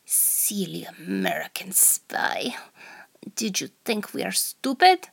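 A woman's voice: mid-range voice, wildly swooping pitch, takes it slowly, full character voice. Woman playing a whispering Russian seductress spy. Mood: mocking. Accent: put-on over-the-top Russian. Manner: breathy teasing whisper. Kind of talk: amateur audio roleplay.

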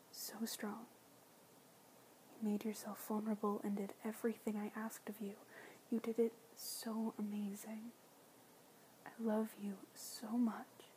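A woman whispering softly in an ASMR roleplay, soothing and tender, with gentle pet names and quiet repeated reassurances. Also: a little high, a little hiss or hum, rough recording. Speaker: audio roleplay performer.

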